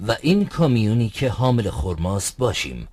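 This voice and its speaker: A man's voice: fairly low pitch, sing-song, darkish tone, no echo, clean studio sound. A man voicing a cautious, devout leader of travelers in a cartoon, earnest and authoritative. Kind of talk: dubbed cartoon dialogue.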